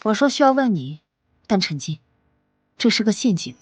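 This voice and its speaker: A woman voicing an earnest, worried resistance fighter in an animated comic drama, speaking expressively and with urgency. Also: medium pitch, normal speed, a little hiss or hum, lightly acted. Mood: worried, urgent. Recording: faint music behind the voice. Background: music.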